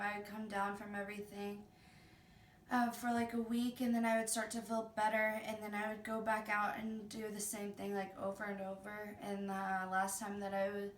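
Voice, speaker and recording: A woman's voice: medium pitch, slight background noise. A woman in her twenties speaking hesitantly in a documentary interview, with many ums and likes. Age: 20s. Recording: echoey room, from a distance.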